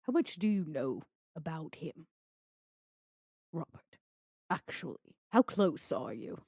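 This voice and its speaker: A woman playing a haughty, scheming female vampire in a tabletop game, with a dry, sardonic, deliberate delivery. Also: deep voice, sing-song, a little mellow, breathy edge, dry recording, exaggerated voice. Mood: conspiratorial.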